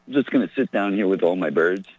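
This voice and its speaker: A hoarse man calls in to a radio show, his husky voice sitting low yet swinging widely in pitch. He rambles in a distracted way. The call comes through a muffled, lo-fi phone line. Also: quick pace, a little mellow. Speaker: caller.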